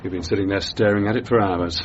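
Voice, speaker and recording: An actor playing a mild, courteous English runner in a radio drama, understated and dryly witty. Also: male voice, low voice, fairly even intonation, fast, close to an everyday voice. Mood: dryly amused. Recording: muffled lo-fi recording, noisy ambience.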